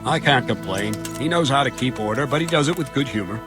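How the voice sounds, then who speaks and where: A man plays a grumbling but dutiful guard captain in a medieval game. His middling voice is blunt and matter-of-fact. Music runs quietly underneath. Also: mid-range voice, lively intonation, quick pace, lightly acted. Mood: grumbling, informative. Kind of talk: video game dialogue acting.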